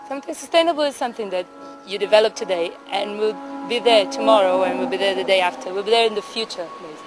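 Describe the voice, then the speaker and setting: A woman from Brazil, speaking calmly as a project coordinator in a documentary interview. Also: mid-range voice, fast talker. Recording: music playing underneath.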